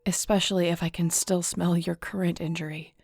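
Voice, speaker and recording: A woman acts out a fierce wolf-shifter guarding someone she loves in an audio roleplay. Her voice is lowish and very mellow. She keeps it hushed and firm, stubborn with tenderness underneath. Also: fairly even intonation, fairly quick, vocal fry. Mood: stern, protective. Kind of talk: intimate audio roleplay drama acting.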